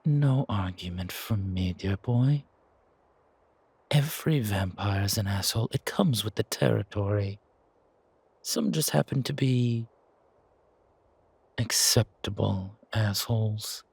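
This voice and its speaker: Man playing a husky, genteel, menacing patriarch. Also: on the low side, dry recording, exaggerated voice. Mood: amused coaxing. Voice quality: husky, gravelly. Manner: measured, condescending, softly chiding. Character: older-mannered founder, genteel, patronizing, quietly menacing man. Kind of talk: audio roleplay drama acting.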